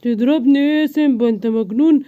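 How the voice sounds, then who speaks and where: A woman's voice: high voice, fast talker, no room sound, phone-quality sound, close to an everyday voice. An Egyptian woman voicing an indignant, bewildered bear who scolds in a cartoon.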